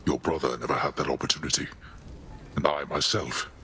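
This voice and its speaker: Brief: a forceful, deep-voiced king of the dead, stern and measured. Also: fairly even intonation, a little hiss or hum. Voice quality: deep commanding voice. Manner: grave, formal, measured. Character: stern regal god king father. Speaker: game character. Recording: game audio with faint music.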